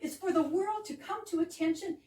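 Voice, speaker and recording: A woman playing an excitable, gushing young lady in a melodramatic amateur stage comedy manner. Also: extremely high pitch, fairly quick, voice pushed up. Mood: fascinated. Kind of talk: amateur readers theater comedy. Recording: echoey stage from far off, faint noise.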